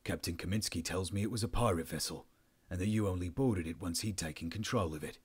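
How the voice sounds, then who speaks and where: A cool, commanding male officer in an audiobook story acted out by a man. His voice sits fairly low, and he interrogates with curt, controlled, unimpressed authority. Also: fast talker, slightly rough.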